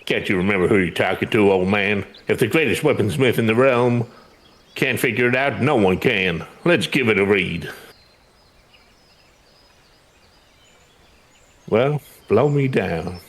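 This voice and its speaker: A man's voice: lowish voice, normal speed, a bit bright. A man voicing a brash, boastful master weaponsmith in a fantasy game, with blunt, sardonic, rustic slangy speech. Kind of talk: fantasy game dialogue read aloud.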